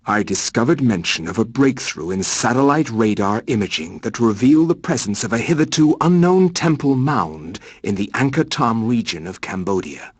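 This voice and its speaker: An actor playing a learned chronicler, narrating a horror game in a grave, measured and literary manner. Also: male voice, mid-range voice, animated, husky, dry recording.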